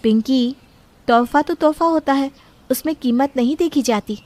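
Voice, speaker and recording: A woman's voice: high-pitched, normal speed, warm tone, natural voice. A woman playing a worried, principled young bride-to-be in an audio story, earnestly protesting like a dutiful daughter. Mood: gently firm. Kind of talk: dramatised Hindi story acting.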